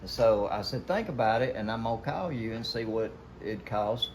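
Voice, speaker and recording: A man's voice: lowish voice, lively intonation, normal speed, darkish tone. Older man, Southern US accent, casual folksy storyteller, emphatic delivery, noisy and roomy pickup. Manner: folksy, emphatic, quotes past conversations. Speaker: guest telling hunting stories.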